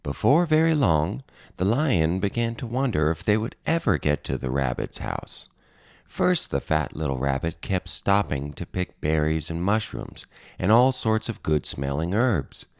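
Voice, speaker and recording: A man narrating a children's picture book as an expressive read-aloud. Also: low-pitched, measured pace, creaky, no echo, clean studio sound. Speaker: storybook narrator.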